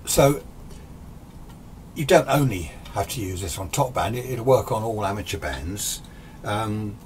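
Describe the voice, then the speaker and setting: A man's voice: on the low side, lively intonation, a little slow, a little mellow. An English man in his sixties presenting an informal hobby explainer to camera, conversational and rambling, often correcting himself as he goes. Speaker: amateur radio and vintage radio video host. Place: small cluttered indoor radio workshop. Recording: clear background noise, room ambience.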